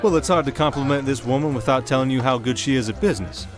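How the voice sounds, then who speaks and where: A man's voice: mid-range voice, fast, lightly acted. A man playing a jovial, teasing millionaire gambler in an audio roleplay, chummy and slangy. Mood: wry, chummy. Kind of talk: scripted audio roleplay acting. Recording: loud music under the voice. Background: music.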